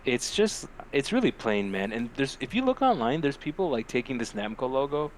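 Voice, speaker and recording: Male podcast co-host, mid-pitched, slangy and profane, jokey chat, audible background noise. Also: big pitch swings, brisk, low-quality recording. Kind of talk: casual podcast chat, weird news banter.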